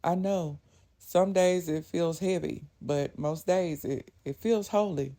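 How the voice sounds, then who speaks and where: A woman voicing a hardworking husband who speaks quietly and firmly. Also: fairly low pitch, slow pace. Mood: earnest. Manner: quiet, earnest. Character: hardworking young husband, quiet but firm.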